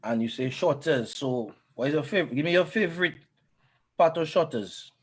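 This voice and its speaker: A man's voice: fairly high pitch, animated, brisk, slightly rough. A man co-hosting an interview, asking easygoing, teasing questions. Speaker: co-host. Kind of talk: interview chat.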